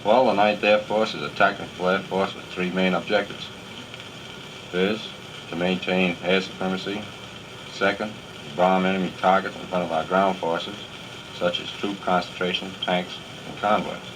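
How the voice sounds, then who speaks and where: A man's voice: low-pitched, fairly even intonation, moderate pace. A young American man, a soldier giving stiff, respectful, rehearsed-sounding answers in a 1940s radio interview. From Massachusetts, United States. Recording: noisy 1940s radio transcription record. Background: record surface noise.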